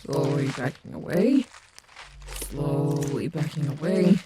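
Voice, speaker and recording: A woman's voice: very deep voice, lively intonation, slow, heavy background noise, full character voice. A woman playing a confident, protective animatronic wolf in an audio roleplay, sarcastic but tender toward her companion. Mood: alarmed.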